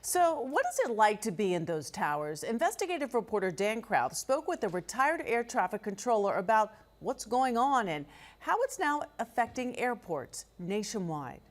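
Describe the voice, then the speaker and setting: A woman's voice: medium pitch, sing-song, normal speed. A woman presenting scripted television news as an anchor.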